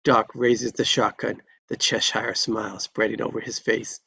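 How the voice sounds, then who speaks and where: Gravelly man narrating screenplay directions. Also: on the low side, flat delivery, normal speed, bright, forceful tone, a little hiss or hum. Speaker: narrator reading stage directions. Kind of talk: scripted narration at a live table read.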